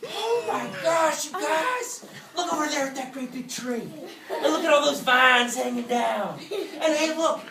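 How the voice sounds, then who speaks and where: A woman hosting a live, interactive story for children in an animated, folksy, exclamatory way. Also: high-pitched, a little slow, vocal fry, over a noisy crowd. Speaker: puppet show host and storyteller. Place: indoor room with an audience. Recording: echoey room, distant mic, music.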